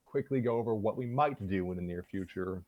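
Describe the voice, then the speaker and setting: A tired-sounding man hosting a casual livestream chat, speaking in a droll, low-energy, hesitant way. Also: brisk, no echo, muffled recording. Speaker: host of an online story-reading show.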